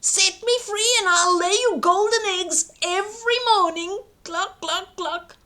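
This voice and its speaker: A woman's voice: very high-pitched, a little slow, pushed and bright, voice pushed up, heavily acted. A woman voicing a frantic storybook hen, squawky and punctuating her pleas with clucks. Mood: frantic, pleading.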